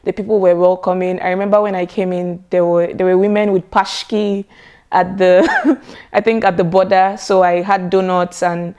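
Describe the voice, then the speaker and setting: Low-voiced Nigerian woman. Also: flat delivery, normal speed, mellow, smooth, dull audio. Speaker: interviewee. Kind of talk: casual interview answers.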